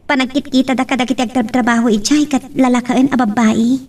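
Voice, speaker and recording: A woman's voice: high voice, steady tone, brisk, darkish tone. A young woman playing a devoted, earnest working daughter in an Ilocano audio drama, with an Ilocano accent. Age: young adult. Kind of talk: Ilocano radio-style drama acting.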